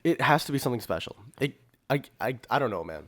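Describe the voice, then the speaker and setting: A man's voice: mid-range voice, very animated, quick pace, fairly bright tone. A man co-hosting a podcast, giving his opinions in casual chat. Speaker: podcast co-host.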